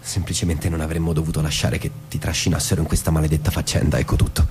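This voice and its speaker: An actor playing a defensive, self-righteous, wounded husband in an Italian radio stage drama, halting and then cutting. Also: male voice, rumbling low, level tone, a little mellow, slight background noise. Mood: regretful, agitated.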